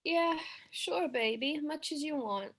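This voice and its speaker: Woman playing a slow-spoken, soothing mother. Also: high-pitched, lively intonation, a bit bright, exaggerated voice, rough recording. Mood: soothing, weary. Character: poor, weary, soothing young mother comforting her children. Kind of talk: rehearsed table read of a screenplay.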